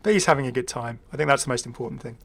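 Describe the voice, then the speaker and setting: A chatty male gaming host with a medium-pitched voice talks casually off the cuff. He thinks aloud, hesitating as he goes, and slips in dry, wry asides. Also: very fast, creaky, a bit bright. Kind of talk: casual unscripted video game commentary.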